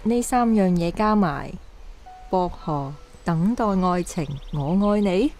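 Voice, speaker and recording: A young actress playing a gentle woman haunted by lost love in a radio drama, with a Hong Kong Cantonese accent. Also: lowish voice, expressive, slow, faint music behind. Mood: tender. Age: young adult.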